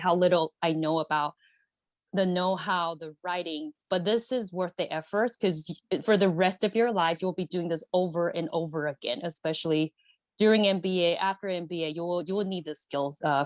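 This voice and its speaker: A woman in her thirties with a fairly low voice, chatty and earnest in an interview. She repeats herself and fills gaps while she gathers her thoughts. The sound is dry and close, with no room echo. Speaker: guest, MBA alumna. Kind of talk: casual interview chat.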